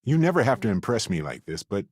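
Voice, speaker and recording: Low-voiced, wisecracking space explorer. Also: male voice, big pitch swings, fast, darkish tone, husky, no room sound, clean studio sound. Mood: warm, earnest. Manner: glib, playful, conversational, theatrical. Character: charming, wisecracking, good-humored male space explorer. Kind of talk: video game voice acting, companion dialogue.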